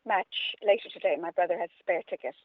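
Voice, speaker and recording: A woman's voice: fairly low pitch, fast talker, no echo. An Irish woman speaking as a guest in a casual radio interview. She is playful and chatty, wandering through her answers, over a dry, thin phone-like connection. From Limerick, Ireland.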